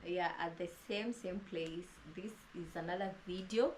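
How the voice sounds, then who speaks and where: A woman's voice: fairly low pitch, normal speed. A woman hosting a casual interview with a fellow YouTuber, rambling along with plenty of fillers and restarts, recorded inside a house. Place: inside a house during heavy rain. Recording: noisy with rain outside.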